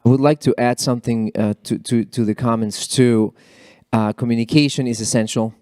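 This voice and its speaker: A man whose first language is Spanish, speaking as an alumni panelist at a college event in a halting, earnest way with many repeated words. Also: mid-range voice, steady tone, warm tone, no echo, studio quality. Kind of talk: panel discussion remarks.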